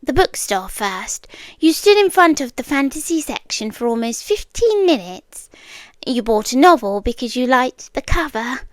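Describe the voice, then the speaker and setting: A British woman playing an obsessive, eerily calm stalker girlfriend in an intimate ASMR roleplay, speaking in measured, deliberate phrases that often slip into whispers, close to the mic. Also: high-pitched, no room sound. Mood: matter-of-fact, eerie. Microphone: close dry microphone.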